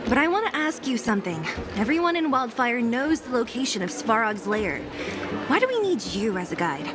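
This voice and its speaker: A woman's voice: fairly high pitch, big pitch swings, normal speed, over music. A woman playing a tough young fighter in a video game, blunt, sardonic and impatient.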